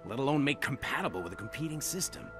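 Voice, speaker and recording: An actor playing a calm older male hacker mentor who speaks in a measured, fatherly way in a video game cutscene. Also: medium pitch, music in the background, lightly acted. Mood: grave. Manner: measured, fatherly, calls him son.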